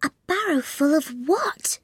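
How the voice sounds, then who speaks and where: A storybook little girl, extremely high and lively in pitch. She is curious and spirited, with a prim way of thinking aloud. The sound is close and dry. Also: unhurried, no room sound. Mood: musing. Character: curious, spirited young girl, talking to herself. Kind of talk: storybook character voice.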